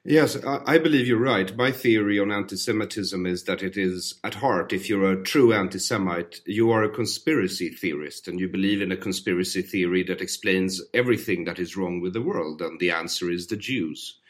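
A man's voice: low-pitched, normal speed, few pauses. A man hosting a podcast, talking thoughtfully with frequent hesitations. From Sweden. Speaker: podcast host. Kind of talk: podcast conversation.

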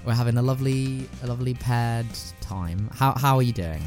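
A man's voice: medium pitch, a little fast, darkish tone, faint music behind. A man hosting a podcast, chatting casually and explaining things in a rambling, enthusiastic way. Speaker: podcast host. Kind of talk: casual podcast chat about plays.

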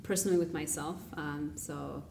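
A woman from Kenya with a deep voice for a woman, answering as a guest. She talks reflectively with frequent pauses, recorded slightly far from the mic in an echoey room. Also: steady tone, gravelly. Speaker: guest, food business founder. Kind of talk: reflective casual interview talk. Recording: roomy, distant mic, background noise.

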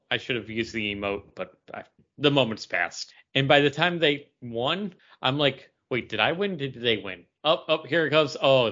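A man's voice: medium pitch, bright, forceful tone, highs cut off. A man co-hosting a podcast in casual, rambling, self-deprecating chat. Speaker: podcast co-host.

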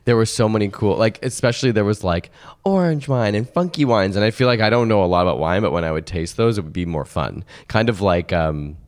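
A man's voice: fairly low pitch, lively intonation, fairly quick. A man co-hosting a casual chat, thinking aloud in a meandering way. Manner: thoughtful, meandering, many "like" fillers. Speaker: podcast co-host.

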